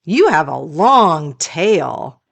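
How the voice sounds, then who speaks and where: A woman reading a picture book aloud to children as a storytime host, in a deliberate storyteller's delivery. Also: sits low, very animated, creaky, background noise.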